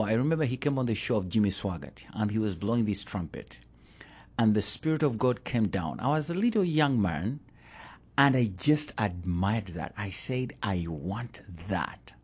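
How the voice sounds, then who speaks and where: A middle-aged pastor with a Ugandan accent, speaking in an earnest, repetitive way as an interview guest. His voice sits low and sounds husky. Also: darkish tone. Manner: earnest, repeats himself.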